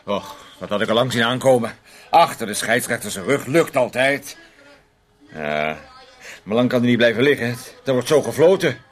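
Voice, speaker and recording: A man's voice: medium pitch, lively intonation, moderate pace, natural voice. An actor playing a domineering man in a radio play, sarcastically needling and teasing his timid housemate, swinging between outbursts and muttering. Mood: excited, annoyed. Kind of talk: Dutch radio play acting.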